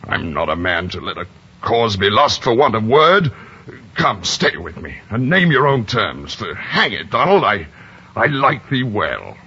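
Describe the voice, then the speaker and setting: An actor playing a gruff, lonely middle-aged merchant, blunt and earnest, in old-fashioned rustic speech and radio drama style. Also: male voice, fairly low pitch, sing-song, a little slow, bright, forceful tone, exaggerated voice. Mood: pleading, fond. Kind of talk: 1940s radio acting. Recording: dull vintage radio recording.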